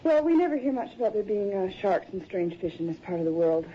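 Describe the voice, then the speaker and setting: An actress in a radio drama playing a polite, troubled city woman who speaks haltingly, on a dull, hissy recording. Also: medium pitch, big pitch swings, lightly acted. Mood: curious. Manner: hesitant, halting. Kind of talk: radio drama acting, naturalistic.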